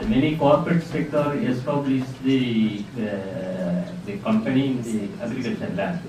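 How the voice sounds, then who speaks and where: A man's voice: mid-range voice, unhurried, dark tone. Man from India, echoey distant recording. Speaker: workshop participant. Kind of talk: asking a question in a discussion. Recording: distant mic in an echoey room with background noise.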